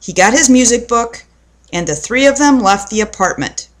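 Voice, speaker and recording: A woman's voice: on the high side, lively intonation, moderate pace. A woman storyteller reading a children's story aloud.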